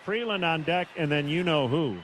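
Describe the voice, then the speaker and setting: An American man calling live baseball on the radio in short, clipped phrases with pauses. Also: a little high, a bit bright. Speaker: baseball play-by-play announcer. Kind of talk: live radio play-by-play commentary. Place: stadium broadcast booth. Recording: noisy live ballpark broadcast.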